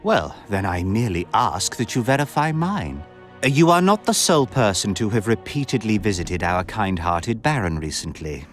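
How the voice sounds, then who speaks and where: An actor playing a suave, scheming count in a video game, speaking in florid, measured and insinuating phrases. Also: male voice, fairly low pitch, big pitch swings, faint music behind. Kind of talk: video game cutscene dialogue.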